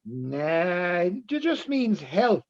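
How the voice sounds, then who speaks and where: A man playing a friendly, earnest bar patron in a radio-style play, speaking in a measured, explanatory way. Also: high voice, sing-song, muffled recording, heavily acted. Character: friendly Austrian-toast-teaching bar patron, adult man. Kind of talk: radio-play style readers theatre acting.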